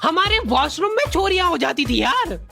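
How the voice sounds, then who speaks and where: A woman's voice: very high-pitched, sing-song, moderate pace, gravelly. A woman narrating a comic cartoon story in casual slang, with exaggerated mock exasperation. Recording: music behind the voice. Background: faint music.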